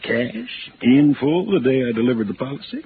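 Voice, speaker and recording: A man's voice: medium pitch, big pitch swings, moderate pace, narrow, tinny audio, natural voice. A man playing a folksy, garrulous insurance agent in his late fifties in an old radio drama. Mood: folksy, gossipy. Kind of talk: 1950s radio drama acting. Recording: band-limited old radio, faint noise.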